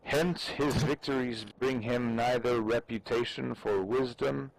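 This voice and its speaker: A man giving a measured, solemn dramatic reading of an ancient text, declaiming it aloud. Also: medium pitch, steady tone, gravelly. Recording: noisy game voice chat. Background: online shooter game sounds.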